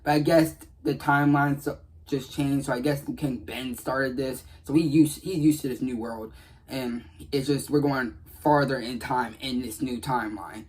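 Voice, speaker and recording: Husky man giving casual game commentary. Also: medium pitch, fairly even intonation, normal speed, a little mellow, rough recording. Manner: earnest, repetitive, rambling. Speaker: gaming video creator.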